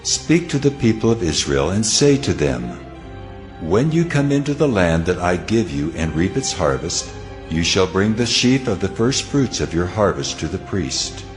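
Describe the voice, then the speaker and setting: An actor playing God in a dramatized scripture reading, solemn, measured and commanding as he hands down laws. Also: low-pitched, big pitch swings. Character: God, commanding solemn lawgiving male deity. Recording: long cavernous reverb, faint music.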